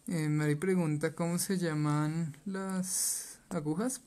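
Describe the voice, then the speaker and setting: Low-voiced woman reading viewer questions. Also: fairly even intonation, slow pace, mellow.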